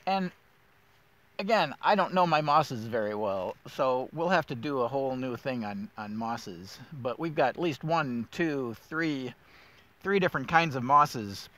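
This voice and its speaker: A man's voice: mid-range voice, expressive, unhurried, a bit bright. Enthusiastic middle-aged man outdoors. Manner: enthusiastic, thinks aloud with fillers. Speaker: woodland steward host. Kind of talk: casual nature walk guide. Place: winter woods outdoors.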